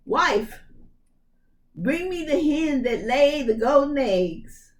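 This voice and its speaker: A woman voicing a gruff, demanding male ogre in a storybook read-aloud, loud and chanting, drawing out words. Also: high voice, very animated, slow pace, far from the mic, voice pushed up, heavily acted. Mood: commanding.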